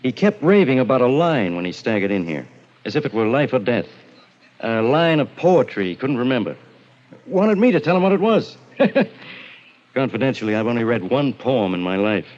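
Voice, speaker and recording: An actor playing a wisecracking, skeptical police inspector in a 1940s radio drama, slangy and wry, on a muffled old recording. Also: male voice, medium pitch, very animated, moderate pace, darkish tone, lightly acted. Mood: incredulous.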